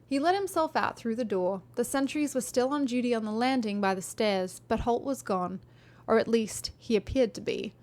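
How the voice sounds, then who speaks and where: A woman's voice: a little high, normal speed, darkish tone. A woman streaming live, reading a fantasy novel aloud and chatting with viewers in a friendly, rambling way with frequent ums.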